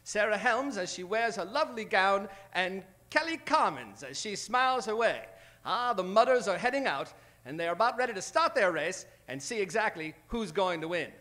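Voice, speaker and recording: Man as very high, animated newsreel announcer. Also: moderate pace. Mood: upbeat. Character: excitable old-time newsreel announcer.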